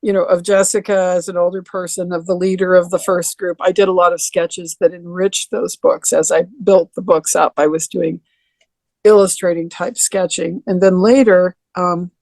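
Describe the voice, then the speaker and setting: An older woman with a deeper voice, reminiscing in a rambling way with many restarts during a video call interview. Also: flat delivery, moderate pace, a little mellow, no room sound. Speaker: interview guest.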